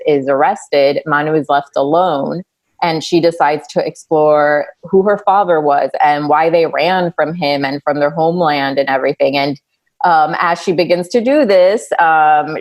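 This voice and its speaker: A woman's voice: low-pitched, dry recording. A woman speaking as an author guest in a panel conversation, hesitant and full of fillers.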